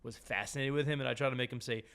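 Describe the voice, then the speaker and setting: A man's voice: mid-range voice, very fast, fairly bright tone, smooth. A man from New Jersey hosting a podcast, chatting casually and often restarting his sentences. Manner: chatty, lots of restarts and stammers. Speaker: podcast host. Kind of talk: casual chat.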